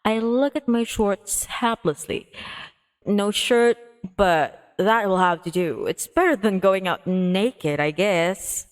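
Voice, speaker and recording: A woman's voice: medium pitch, takes it slowly. A woman streamer narrating a visual novel aloud in a dramatic read-aloud style. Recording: music playing behind the voice. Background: music.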